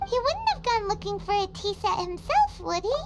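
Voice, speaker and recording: Squeaky little fairy sidekick, very high and bouncy, game audio over faint music. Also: female voice, sing-song, normal speed, heavy background noise, full character voice. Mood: chirpy. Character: tiny floating female fairy companion, chirpy.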